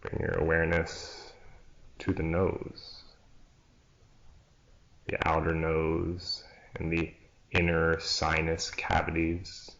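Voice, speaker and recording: A man leading a guided yoga nidra relaxation as a teacher, in a hushed, soothing manner with long pauses between short phrases. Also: a bass voice, completely flat delivery, gravelly. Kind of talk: slow guided relaxation meditation. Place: church room, live class. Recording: dull, muffled live recording.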